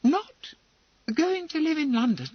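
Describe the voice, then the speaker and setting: Guy doing a timid young lady from a novel, really high pitched, stammery, begging, swoops around. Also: sing-song, slow, muffled recording, full character voice. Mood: dismayed. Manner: stammering, breathless protests. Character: timid, pleading, frightened young woman, a bride-to-be.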